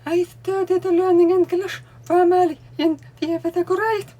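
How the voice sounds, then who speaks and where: Very high-voiced Russian-accented woman, slow. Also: flat delivery, mellow. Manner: deliberate, speaks while signing. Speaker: language learning vlogger. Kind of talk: explaining while showing books. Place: home room with bookcase, indoors.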